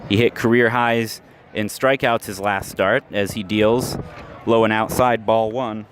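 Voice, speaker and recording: Low-voiced man calling baseball play-by-play. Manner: steady, measured call with stats between pitches, rising sharply on big plays. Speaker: play-by-play announcer. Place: outdoor ballpark. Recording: live ballpark recording with clear crowd noise.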